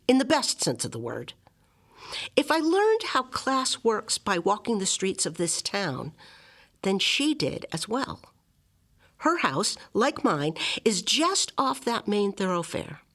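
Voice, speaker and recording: A woman reading a literary memoir aloud as scripted narration, with measured, articulate and expressive phrasing. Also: mid-range voice. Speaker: reader of a literary memoir excerpt.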